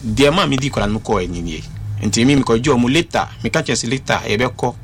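A man's voice: mid-range voice, brisk. Man, earnest storytelling, casual chat, faint background hiss. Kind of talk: casual chat mixing Twi and English.

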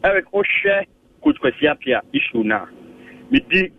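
A man with a Ghanaian accent calling in to a radio sports show, arguing his point haltingly and mixing Twi and English. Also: sits high, huge pitch swings, gravelly, no room sound. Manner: argumentative, halting, repeats himself, mixes Twi and English. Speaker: phone-in caller. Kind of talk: heated opinion on a radio call-in. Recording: dry tinny phone line, distant.

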